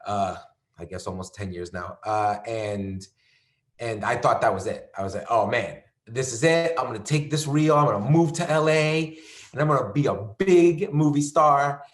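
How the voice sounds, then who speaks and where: A man from New York chatting casually as an actor guest, rambling with lots of fillers and restarts. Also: fairly low pitch. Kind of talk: casual conversation. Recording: echoey room.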